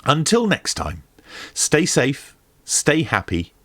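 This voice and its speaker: A man's voice: on the high side, huge pitch swings, slow, a bit bright, no echo. A man presenting a product review and tutorial as the host of his video.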